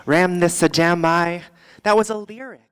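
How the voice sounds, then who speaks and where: A performer playing a boozy, world-weary middle-aged female comic, doing a rambling, deadpan stand-up monologue live on a theatre stage. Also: medium pitch, moderate pace, smooth, long reverb tail, natural voice. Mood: confessional, swaggering. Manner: rambling, deadpan, theatrical, swinging between asides and outbursts.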